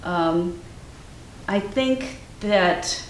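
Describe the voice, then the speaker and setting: An older woman, a guest artist whose first language was Ukrainian, talking in a thoughtful, measured way with many fillers. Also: medium pitch. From Cleveland, Ohio, US. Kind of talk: casual interview conversation. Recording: echoey room from far off, faint noise.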